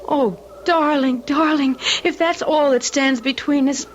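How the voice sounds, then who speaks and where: A woman playing a naive, tender young sweetheart in an old-time radio drama, breathy and effusive. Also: sits high, steady tone, a little slow. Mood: tender, adoring. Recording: dull, noisy vintage radio recording.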